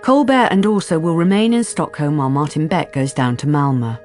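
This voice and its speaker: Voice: medium pitch, fairly quick, a little mellow, close to an everyday voice. A narrator telling a crime story in a scripted, composed radio drama style. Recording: music under the narration.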